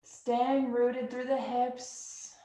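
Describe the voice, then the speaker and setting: Slow, calm woman guiding yoga breathing. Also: on the high side. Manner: slow, calm, soothing guidance. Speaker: yoga instructor. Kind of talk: guided yoga breathing instruction. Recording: echoey room.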